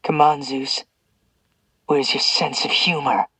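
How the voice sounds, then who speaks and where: Man doing cruel male captor, venomous, slow mocking drawl, husky, dry close sound. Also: fairly high pitch, little pitch movement, pushed and bright, no echo, far from the mic, exaggerated voice, poor audio. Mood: venomous taunting. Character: cruel sneering male captor, vengeful and taunting. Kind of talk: audiobook character voice.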